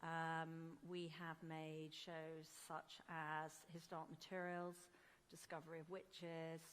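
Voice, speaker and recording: A woman executive introducing herself on a panel in a quick, matter-of-fact way. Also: low-pitched, level tone, slight background noise. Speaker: company executive. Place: conference hall. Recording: hall reverb with faint ambience.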